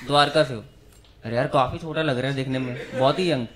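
A man in his thirties doing stand-up, teasing audience members in quick back-and-forth banter on a club stage with a handheld mic. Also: mid-range voice, steady tone, noisy background. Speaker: stand-up comedian. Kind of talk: crowd-work roast.

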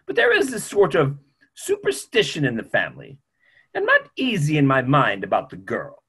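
Grumpy, opinionated older male doctor played by a man, theatrical, emphatic, very animated, slightly high pitch. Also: normal speed, breathy edge, heavily acted. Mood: dry disapproval. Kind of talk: theatrical play reading. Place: small home room on a video call.

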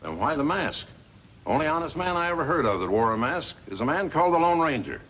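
Folksy, lively frontier blacksmith. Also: male voice, a little high, a little fast. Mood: wary. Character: plain-spoken, wary frontier blacksmith. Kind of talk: 1950s radio western acting. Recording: noisy vintage 1950s radio recording.

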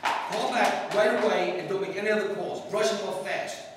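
A man playing a brash, self-serving boyfriend in an old-time radio drama, brisk, bossy and excitable. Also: high-pitched, close to an everyday voice. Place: live stage in a hall, distant audience camera. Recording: echoey hall stage from far off, noisy.

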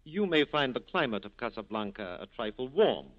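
An actor playing a suave, cynical French police prefect, urbane and drily ironic, in 1940s radio drama style. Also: male voice, mid-range voice, big pitch swings, moderate pace, natural voice. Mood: smooth, wry. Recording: dull 1940s radio recording.

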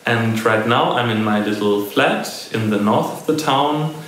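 A young man from Berlin, a flute student, introducing himself from his small flat. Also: medium pitch, unhurried. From Berlin, Germany. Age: young adult. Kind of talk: self-introduction to camera. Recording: very echoey room with faint noise.

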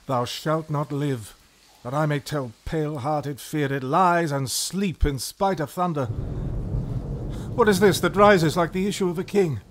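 A man's voice: fairly high pitch, measured pace, background noise, lightly acted. An actor playing a haunted, ruthless warrior king in a declamatory Shakespearean radio drama. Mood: defiant, commanding. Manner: declamatory, volatile.

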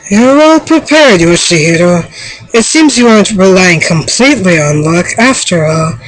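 A woman's voice: animated, normal speed. A woman voicing a proud, stern young samurai warrior woman for a video game, with formal, haughty delivery on an amateur microphone. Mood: resolute. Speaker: amateur voice actor. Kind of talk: video game character voice reel. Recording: low-quality amateur microphone.

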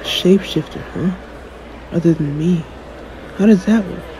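A man's voice: sits high, measured pace, dark tone, slight background noise, rough recording. A man playing a cocky, jaded young superhero in an audio roleplay, talking in a dry, sarcastic, aloof way. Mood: aloof, smug. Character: jaded, cocky young male superhero, dismissive of his fan. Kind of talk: audio roleplay acting, one-sided conversation.

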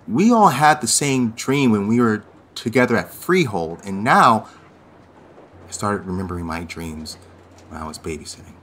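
Anxious teenage boy, fantasy audio drama: lowish, halting, earnest. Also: lively intonation, fairly bright tone, slight background noise. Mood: anxious, hesitant. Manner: hesitant, halting, stammering.

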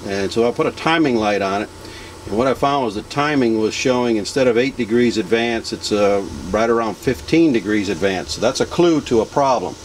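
A man's voice: mid-range voice, a little fast, a little hiss or hum, poor audio. An older man in a boat workshop, explaining engine repair in a plain-spoken way with many fillers. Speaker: boat mechanic. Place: large boat workshop indoors. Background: idling boat engine.

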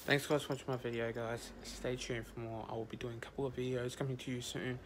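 A man's voice: mid-range voice, level tone, fast talker, noisy background. A young man from Australia closing his vlog to a phone camera, rambling and repeating himself as he thanks viewers. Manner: rambling, repetitive sign-off. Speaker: vlogger.

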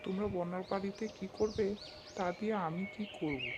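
A man's voice: high voice, brisk, noisy background, natural voice. A man playing a callous, stingy village landlord in a cartoon, scolding and dismissive. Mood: scornful. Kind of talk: Bengali cartoon character voice acting.

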